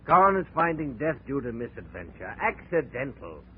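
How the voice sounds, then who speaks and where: A man's voice: medium pitch, sing-song, normal speed, natural voice. An actor playing a male doctor reading out a death report in a clipped, detached way, in 1940s radio acting. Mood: clinical. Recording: distant tinny old radio with hiss.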